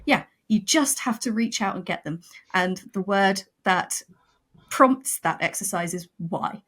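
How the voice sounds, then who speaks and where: Woman writer, medium pitch, emphatic punchy delivery. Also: a little slow. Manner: emphatic, animated, repeats for emphasis. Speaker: writer, podcast guest. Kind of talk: casual podcast chat.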